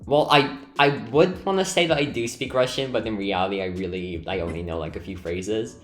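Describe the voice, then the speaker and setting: A man's voice: fairly low pitch, fairly quick, fairly bright tone. Hesitant young-sounding man in a roomy echo. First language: Lithuanian. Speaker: video creator and builder hosting a group chat. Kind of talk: casual chat over a game build video. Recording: roomy echo, music behind. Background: background music.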